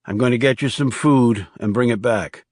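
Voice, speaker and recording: A man voicing a steady, reassuring male government agent in a thriller audiobook. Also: mid-range voice, moderate pace, no echo, studio quality, lightly acted. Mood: calm, probing.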